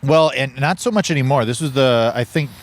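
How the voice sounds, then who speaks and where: A man from California, chatting in an easygoing, halting way with many pauses, outdoors in a park. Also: medium pitch. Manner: easygoing, halting, lots of fillers. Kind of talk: casual chat. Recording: faint outdoor ambience.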